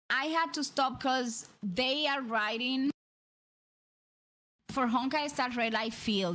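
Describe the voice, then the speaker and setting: A woman's voice: a little high, a bit bright, rough recording. A woman streamer with a Dominican accent chatting casually with viewers, rambling with frequent restarts and repeated words. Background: music.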